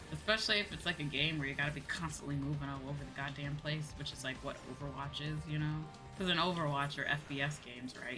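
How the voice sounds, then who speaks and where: A woman livestreamer talking casually and informally to her viewers while she plays, with some casual swearing. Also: low voice. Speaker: livestreamer playing online games and chatting with viewers. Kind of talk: casual gaming stream chat. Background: game music.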